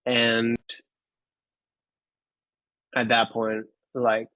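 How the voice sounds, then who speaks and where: A man, telling his personal story in an interview, candid and halting, often repeating words. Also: medium pitch, completely flat delivery, no room sound, muffled recording. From Finland. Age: 30s. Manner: candid, casually profane. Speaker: podcast guest.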